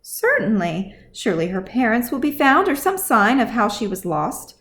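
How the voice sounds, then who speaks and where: A woman's voice: on the high side, sing-song, normal speed, fairly bright tone. Woman reading as a no-nonsense motherly dwarf widow. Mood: caring, assured. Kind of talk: audiobook character acting.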